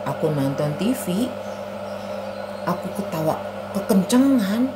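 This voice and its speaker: A woman's voice: on the low side, very animated, measured pace. A woman voicing a bored, grumbling schoolboy character in a children's Sunday school skit, with a sullen manner. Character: Anto, grumbling schoolboy puppet, bored and scolded. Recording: clear background noise.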